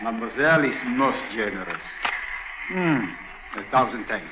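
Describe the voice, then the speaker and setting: An actor playing an oily, over-polite foreign man in a 1950s radio drama, with a foreign accent and halting, florid courtesy. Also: medium pitch, animated, natural voice. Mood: unctuous. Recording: muffled, noisy, roomy old radio recording.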